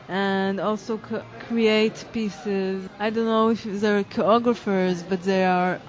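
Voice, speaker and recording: A woman, a dance teacher and choreographer, answering interview questions in halting English as she searches for words. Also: mid-range voice, lo-fi sound. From Israel.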